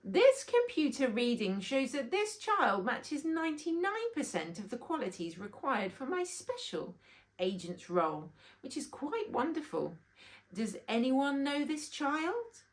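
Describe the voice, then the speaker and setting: Imperious female bee monarch, performed by a woman reader: high, swooping, commanding, storybook style. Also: wildly swooping pitch, measured pace, exaggerated voice. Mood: proclaiming. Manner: grand, projecting, commanding.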